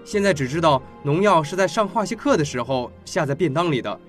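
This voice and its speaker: An actor playing a cynical teenage high school boy in a radio drama, mocking and flippant. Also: lively intonation, brisk, faint music behind, natural voice. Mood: flippant, scornful.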